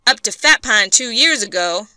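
Woman doing a weary frontier man, slow backwoods talk, grim, bright, crisp. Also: on the high side, expressive, dry recording, pitched above the performer's own voice. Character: steady, weary middle-aged frontier man.

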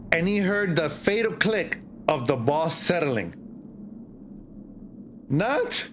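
Middle-aged male horror storyteller: higher-pitched, theatrical, halting, lively intonation. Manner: theatrical, halting delivery with repeated phrases. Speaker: streamer, horror story reader. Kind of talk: dramatic storytelling read aloud.

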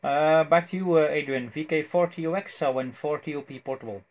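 Belgian male radio hobbyist, slightly high voice, hesitant casual delivery. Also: fairly quick, darkish tone. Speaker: amateur radio operator. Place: indoors at a cluttered electronics desk. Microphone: cell phone near the desk.

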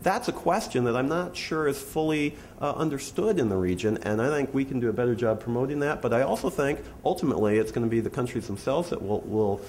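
A middle-aged man giving analytical remarks on a panel in a measured, deliberate way. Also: medium pitch, lively intonation, a little mellow. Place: indoor conference panel stage. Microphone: gooseneck table microphone. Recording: faintly noisy conference room recording.

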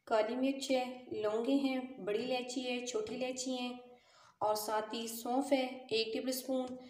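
Cooking host: woman, calm and methodical, slightly high voice, recorded in an echoey home kitchen. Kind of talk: step-by-step recipe voice-over.

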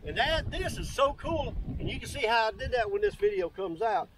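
A man's voice: very high voice, animated, fairly quick, background noise. A middle-aged man giving an enthusiastic, folksy, casual walk-through as a video host, speaking outdoors. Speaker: YouTube host demonstrating DIY kayak builds. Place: outdoors on open grass by water.

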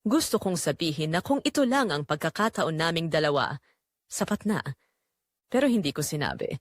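Woman narrating as wistful young man, expressive pitch. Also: normal speed, dry recording, clean studio sound. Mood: wistful, intimate. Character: wistful young man recalling a secret romance. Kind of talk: scripted first-person audio drama narration.

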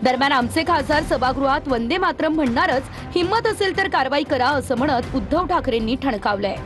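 A woman's voice: sits high, animated, brisk, over music. A woman news anchor reading a scripted news report.

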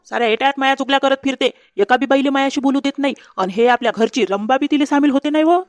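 A woman voicing a grumbling, sharp-tongued village mother-in-law in a comedy cartoon, with a Varhadi Marathi accent. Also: high-pitched, fast talker, no echo, close to an everyday voice. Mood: gossipy, resentful. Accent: Varhadi Marathi from Vidarbha. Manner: griping, gossipy, insulting. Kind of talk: comedy cartoon dialogue in rural dialect.

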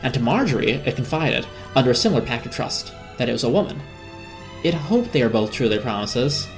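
A woman narrating a children's storybook as an expressive dramatic reading. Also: a bass voice, fairly quick, faint music behind.

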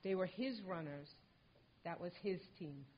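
Older woman reading a measured tribute. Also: lowish voice. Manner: measured, composed delivery. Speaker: mother accepting a hall of fame honor for her late son. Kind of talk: reading a prepared tribute speech. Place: stage with a lectern in a large indoor hall. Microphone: lectern microphone. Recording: dull lo-fi hall recording.

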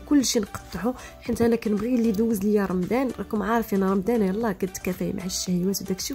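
Brief: young Moroccan-accented woman, cooking-channel host, quick and chatty, music faintly behind. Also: medium pitch, mellow. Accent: Moroccan Arabic. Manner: chatty, practical, talks straight to viewers. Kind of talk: voice-over cooking tutorial.

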